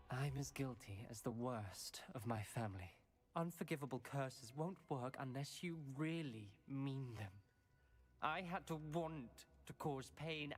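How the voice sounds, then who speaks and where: A guilt-haunted teenage boy acted by a man in a fantasy video game. He is bitter and principled, speaking seriously at a medium male pitch. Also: close to an everyday voice. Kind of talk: scripted video game acting.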